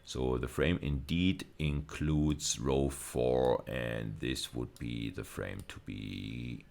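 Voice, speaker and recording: A man's voice: extremely low pitch, fairly even intonation, takes it slowly, gravelly, darkish tone. A man giving an explanatory university lecture, methodical and repeating phrases for emphasis.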